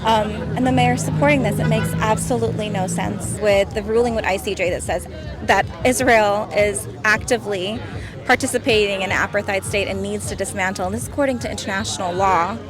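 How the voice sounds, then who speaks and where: A woman at a street protest talks earnestly and sounds frustrated. Her voice sits at a middle pitch, and music plays clearly in the background. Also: fairly even intonation, brisk. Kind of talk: interview at a rally. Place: outdoors on a city street.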